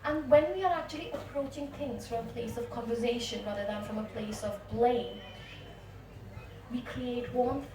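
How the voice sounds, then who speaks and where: A woman from India, a therapist, speaking in an animated way on a discussion panel. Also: on the high side, normal speed. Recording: echoey, far from the mic, with music behind.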